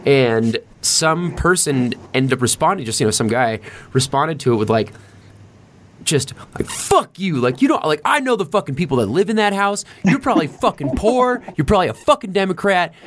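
A man's voice: on the high side, normal speed, a little hiss or hum. A man telling stories in casual podcast chat, rambling and swearing constantly. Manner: heavily profane, rambling, animated.

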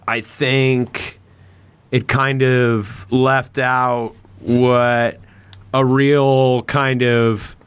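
A man's voice: medium pitch, little pitch movement, very slow, clean tone, no echo. Rambling man chatting on a close, dry mic. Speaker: podcast host. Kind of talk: casual chat.

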